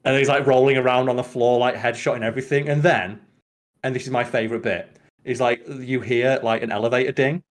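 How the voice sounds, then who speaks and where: A man's voice: mid-range voice, fairly quick. Man rambling animatedly in casual podcast chat. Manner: animated retelling, rambling, peppered with "like". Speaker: podcast host.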